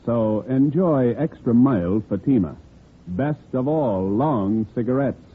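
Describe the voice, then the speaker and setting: A man's voice: medium pitch, expressive, takes it slowly, husky. A man with an American accent reading formal scripted announcements in mid-century radio style. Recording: muffled old radio broadcast, music bed.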